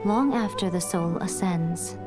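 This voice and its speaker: A woman playing a solemn storytelling narrator in a video game cutscene, delivering the script deliberately, phrase by phrase. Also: lowish voice, over music, lightly acted.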